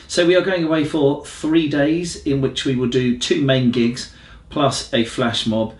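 A man's voice: mid-range voice, steady tone, unhurried. A man in his forties with an English accent, a pastor giving informal, laid-back church announcements. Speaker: senior pastor co-presenting a church newsletter. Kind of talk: casual announcements to camera. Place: small living room, on a sofa. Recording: roomy, echoey living room.

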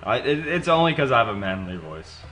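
A man's voice: medium pitch, very animated, fairly quick, a bit bright, low-quality recording. A young man chatting casually while playing a video game with friends, joking and stumbling over restarts. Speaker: let's play co-host. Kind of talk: casual gaming chat.

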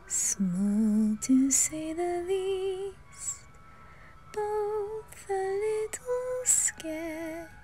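A woman softly singing a slow ballad. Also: sits high. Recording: music behind the voice. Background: soft music.

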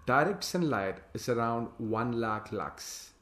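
A man talks through a slide presentation, reading each slide aloud and then adding his own plain explanations. His voice sits at an ordinary middle pitch. The recording carries a noticeable roomy echo. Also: animated, takes it slowly, a bit bright. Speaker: hydroponics farm consultant presenting slides.

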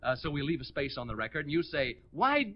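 An American man hosting a live 1940s radio game show with excitable, hurried patter from the stage. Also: fairly high pitch. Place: on stage before a studio audience. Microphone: stage microphone. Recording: dull 1940s radio recording.

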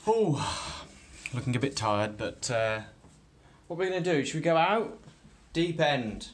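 A man's voice: mid-range voice, a little hiss or hum. A young man filming himself with a handheld camera at arm's length, making dry, wry remarks as he travels through airports, planes and hotel rooms. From England. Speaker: vlogger. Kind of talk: casual travel vlog narration.

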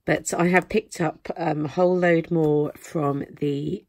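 Hoarse woman with a very low voice. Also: fairly even intonation, unhurried, darkish tone, dry recording. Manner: chatty, rambling with many fillers. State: losing her voice, coughing. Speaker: craft channel host. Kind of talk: casual product haul chat. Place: indoors at a craft desk. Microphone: camera overhead, hands in frame.

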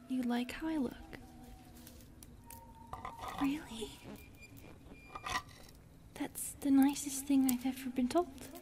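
A woman voicing a shy, lonely, gentle young forest spirit in soft, hesitant whispers, as ASMR roleplay. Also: high voice, slight background noise. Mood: touched, hesitant.

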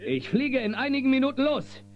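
A resolute space-western marshal speaks in a high, lively swinging voice. He is earnest and commanding, in radio play style. The sound is a dull cassette with music behind it. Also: fairly quick, a crowd in the background. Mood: resigned, determined. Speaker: actor in audio drama. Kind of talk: German children's radio play acting.

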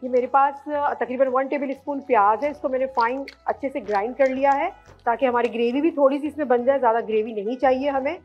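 A woman demonstrating a recipe on a TV cooking show, clear and instructive. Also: high voice, quick pace, highs cut off, faint music behind. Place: TV studio kitchen set.

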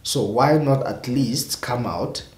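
A man's voice: medium pitch, slow, darkish tone, roomy sound. A young man talking casually to camera in a small room, exasperated and rambling, often restarting his words. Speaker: vlogger. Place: small indoor room with purple lighting.

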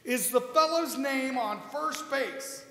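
A middle-aged man reading a classic comedy routine on stage, exasperated, animated and a little halting. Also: extremely high pitch. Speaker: amateur comedy performer. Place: small indoor stage in a hall. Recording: echoey, noisy hall from a distance.

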